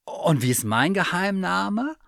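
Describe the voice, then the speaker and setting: A small stuffed teddy bear, naive and polite, chirping up high in a storybook, as a man puts him on. The voice is close and dry, with a gravelly edge. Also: big pitch swings, unhurried, a bit bright, no room sound, full character voice. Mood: eager. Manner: eager, chirpy and high-pitched.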